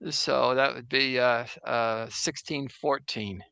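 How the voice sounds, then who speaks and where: A man playing a 17th-century Jesuit astronomer priest in a casual improvised interview over a video call, halting and full of restarts. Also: medium pitch, steady tone, gravelly, pushed and bright, smooth, no room sound, full character voice. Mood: wry. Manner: halting, full of restarts and fillers, joking anachronisms. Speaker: guest in role-play.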